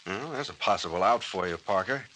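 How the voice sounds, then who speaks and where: A man acting a gruff, shrewd police captain in an old radio drama, blunt and probing in his delivery. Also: low voice, expressive, brisk, pushed and bright, low-quality recording. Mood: skeptical, stern. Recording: hissy old-time radio recording.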